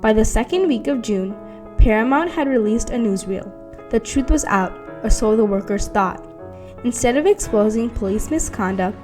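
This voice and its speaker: Woman doing scripted documentary voiceover, medium pitch, faint music underneath. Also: darkish tone. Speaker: documentary narrator. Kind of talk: scripted narration. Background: background music.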